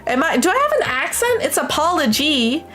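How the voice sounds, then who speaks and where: A young woman from the United States chatting casually on a livestream in an expressive, affectionate, gushing way, as a streamer talking to viewers. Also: very high-pitched, fast talker. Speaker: live streamer.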